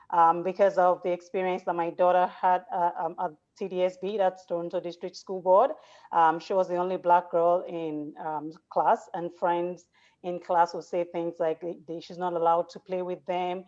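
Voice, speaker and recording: A woman's voice: lowish voice, darkish tone, highs cut off. A woman guest and founder telling her story earnestly, with many filler words. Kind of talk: personal story in an interview.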